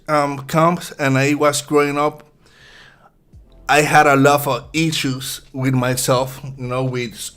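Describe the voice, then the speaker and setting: A Puerto Rican man in his thirties speaks slowly and softly, often stopping to restart his sentences. His voice sits at a middle pitch for a man. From Puerto Rico. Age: 30s. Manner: halting, soft-spoken, many restarts. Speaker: futures trader guest. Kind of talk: live-stream chat while trading.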